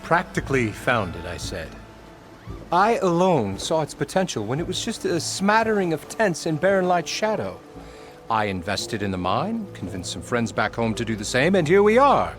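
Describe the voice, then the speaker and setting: A smug frontier boss in a game, his fairly high voice swinging widely as he talks. He is pompous and theatrical, a smooth schemer wheedling for respect, over faint music. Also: big pitch swings, normal speed. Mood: self-important. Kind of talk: video game character acting.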